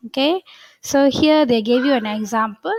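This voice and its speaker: A woman's voice: high-pitched, normal speed, darkish tone, rough recording. A woman English teacher going over workbook answers in a patient, step-by-step way, often saying "okay" between points.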